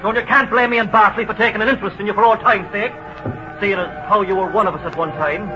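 An actor playing a suspicious, needling Irish tough who feigns friendliness, with an Irish accent, in old film drama style. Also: male voice, high voice, steady tone, fast, music in the background, lightly acted. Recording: dull old film soundtrack.

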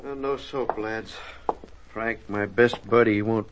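An actor playing a glib, smooth-talking male buddy with wordy, mocking charm, in old radio drama style. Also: fairly low pitch, fairly even intonation, measured pace, a little hiss or hum, natural voice. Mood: sly. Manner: wordy, flowery, sly. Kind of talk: 1950s radio drama acting. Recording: dull old radio recording.

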